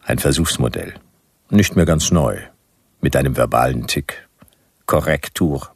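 World-weary gumshoe, bottomless bass, gravelly fry, laconic sardonic narration, grumpy, radio play. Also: male voice, unhurried, dry recording, studio quality, heavily acted. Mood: dry, sardonic. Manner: deadpan, laconic, clipped sardonic phrases. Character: grumpy, world-weary male private detective.

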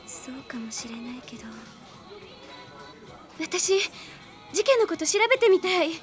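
A woman's voice: extremely high pitch, very animated, normal speed, faint music behind. An actress playing an earnest, polite young woman, in a radio drama. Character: earnest young woman worried about a case. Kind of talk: 1990s radio drama acting.

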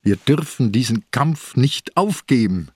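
Husky, ailing old inspector. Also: male voice, mid-range voice, expressive, unhurried, a little mellow, dry recording. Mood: weak, stubborn. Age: older. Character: ailing old police inspector, distraught but stubbornly idealistic. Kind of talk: radio drama dialogue.

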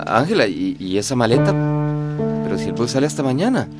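Actor as wistful suitor over loud guitar. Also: male voice, mid-range voice, sing-song, fairly quick, natural voice. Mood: pleading, wistful. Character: José, wistful suitor saying goodbye. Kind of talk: radio drama acting. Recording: loud guitar music behind.

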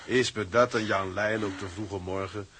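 A man's voice: on the low side, steady tone, moderate pace, a bit bright, breathy edge. A man playing a proud, blustering young ship's mate and affectionate husband in a radio drama, talking colloquially. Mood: gruff soothing. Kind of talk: Dutch radio drama acting.

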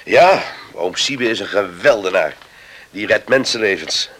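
Grave island villager: very low, husky, measured and solemn, for radio drama. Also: male voice, lively intonation, fairly bright tone. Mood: admiring.

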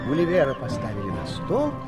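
An actor playing a storyteller, delivering scripted fairy-tale narration in an audio play. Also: male voice, medium pitch, wildly swooping pitch, a little fast, music in the background. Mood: brisk narration.

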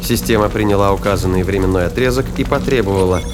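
A man narrating a scripted audio drama in a measured storytelling manner. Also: fairly low pitch. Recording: narration over loud music and effects.

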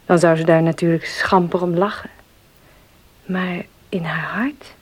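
An actress in a Dutch radio drama playing a compassionate, earnest woman, speaking softly in a husky, breathy voice. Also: fairly low pitch, fairly even intonation, measured pace.

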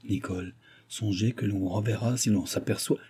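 A man's voice: fairly low pitch, fairly even intonation, fairly quick, dark tone, breathy edge. A man reading aloud and voicing a tense young man who speaks guardedly, through clenched teeth. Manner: tight, guarded.

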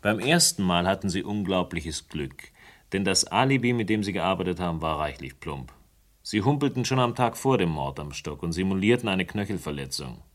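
A man's voice: deep voice, lively intonation, normal speed. An actor playing a persistent, sardonic man who lays out a murder case with dry, precise phrasing in a German radio crime drama. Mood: methodical, insistent.

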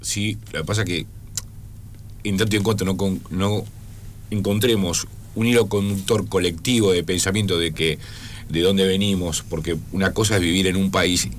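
An Argentine man co-hosts radio with a very low voice and a wry, interjecting manner. He stumbles over his openings, then lands his point. A faint hiss runs underneath. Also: steady tone, moderate pace. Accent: Argentine Spanish. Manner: wry, interjecting, stammers starts. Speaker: radio co-host. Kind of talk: casual radio talk.

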